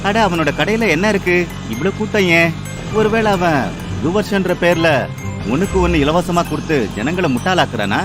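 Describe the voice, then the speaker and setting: A downtrodden village mutton seller, played by a man, with a high, plaintive voice. He complains like a put-upon cartoon character, over prominent music. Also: lively intonation, normal speed. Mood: envious, puzzled. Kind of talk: animated moral story dialogue.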